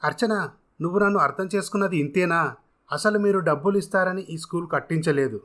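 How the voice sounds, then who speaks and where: A man's voice: high voice, a little fast, fairly bright tone. A man voicing an earnest, generous self-made contractor who speaks formally and encouragingly in a dramatised story. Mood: earnest, kindly.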